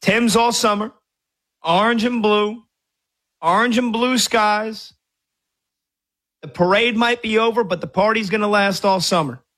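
A man hosting a sports talk radio show, hyped and celebratory, shouting catchphrases. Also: high-pitched, measured pace, pauses often.

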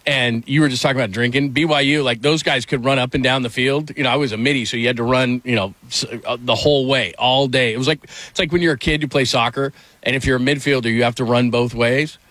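A man's voice: mid-range voice, fairly even intonation, fast, few pauses, fairly bright tone, dry recording. A middle-aged man hosting a casual morning radio show, telling rambling self-deprecating stories full of restarts.

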